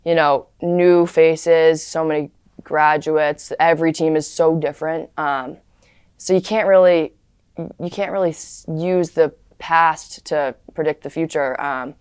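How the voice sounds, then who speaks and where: A woman's voice: low voice, fairly even intonation, unhurried, a little mellow, smooth, dry recording, clean studio sound. A woman college lacrosse player answering interview questions in an earnest, casual way.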